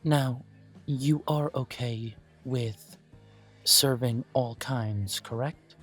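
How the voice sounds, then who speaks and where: A man gives voice to a courteous elf who runs a small coffee shop. He speaks at an unhurried pace in a mid-range voice, formal and gently self-deprecating. Soft music plays quietly beneath his words. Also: mid-range voice. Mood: gracious. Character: courteous elven male coffee shop owner. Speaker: shop owner interviewing a job applicant. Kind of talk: scripted one-sided roleplay audio.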